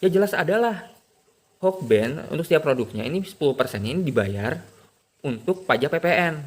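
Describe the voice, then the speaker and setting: A man's voice: on the high side, very animated, normal speed. A man giving an academic presentation. He explains in a lecture tone, answers his own rhetorical questions and lists points in order. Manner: asks himself rhetorical questions and answers them; lists points one after another.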